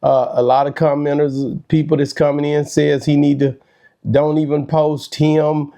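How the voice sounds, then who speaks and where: A man's voice: on the high side, flat delivery, measured pace, warm tone. A man hosting a talk show with casual, conversational commentary.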